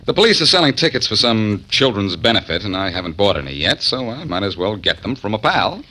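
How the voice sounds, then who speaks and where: Glib amateur detective, 1940s radio, sharp forceful tone, very lively intonation, wry. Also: male voice, fairly low pitch, brisk, full character voice, rough recording. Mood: breezy, needling. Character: wisecracking confident male amateur sleuth. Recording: vintage 1940s radio recording.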